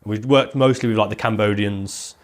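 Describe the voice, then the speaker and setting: A man's voice: on the low side, fairly even intonation, normal speed. A man in his thirties with a head cold, sounding nasal as he tells his story in casual, rambling chat full of "like". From England. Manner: casual, rambling, heavy use of "like". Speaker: podcast guest, leather maker.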